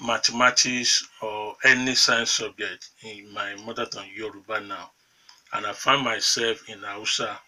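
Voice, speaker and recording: A man's voice: on the low side, sharp tone, distant mic, poor audio. A Nigerian man hosting a morning TV show, asking probing, emphatic questions. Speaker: TV host.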